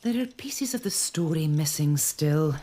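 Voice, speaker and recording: A woman's voice: sits low, expressive, a little slow, warm tone. An actress playing a curious, determined lady of a castle in a period audio drama, probing and earnest. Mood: thoughtful.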